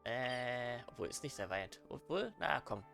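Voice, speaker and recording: A man's voice: mid-range voice, big pitch swings, a little slow, creaky. A man with a German accent giving casual, rambling let's play commentary with teasing banter, game music behind him.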